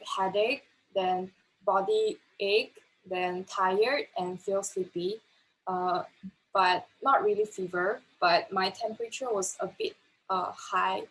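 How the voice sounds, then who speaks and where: A woman's voice: mid-range voice, fairly bright tone, a little hiss or hum, rough recording. A woman of about twenty answering interview questions, hesitant with fillers.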